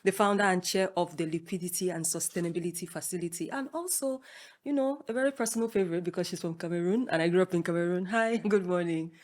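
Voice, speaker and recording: A woman's voice: on the low side, fast, darkish tone. A young woman interviewer and content creator, hosting in a friendly, chatty, upbeat way.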